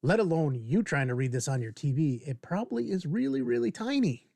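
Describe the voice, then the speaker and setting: A man in his fifties hosting a casual screen-share software tutorial, informally thinking aloud as he demonstrates. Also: a little high, sing-song, fairly quick, vocal fry, no echo. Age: 50s. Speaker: video tutorial host.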